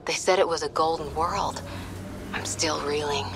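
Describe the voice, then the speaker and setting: Young woman crewmate, wondering tone, low voice, loud engine noise. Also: fairly even intonation, moderate pace. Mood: awed. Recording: game audio under loud noise.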